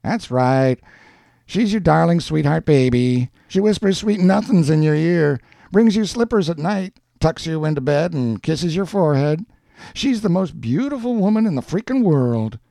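A crude young working-class bully from a storybook, acted out by a male narrator. He jeers and taunts in combative street talk, his voice pushed higher and swinging widely. The sound is close and dry. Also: very animated, a little slow, gravelly, a little mellow, dry recording, exaggerated voice. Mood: mocking.

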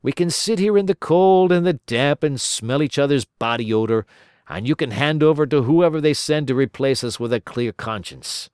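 A man's voice: on the high side, lively intonation, moderate pace, a little mellow, clear, resonant tone, no echo, studio quality, voice pushed up, close to an everyday voice. A man voicing a shifty, lazy police officer, wheedling and mocking. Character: shifty lazy male cop, cajoling.

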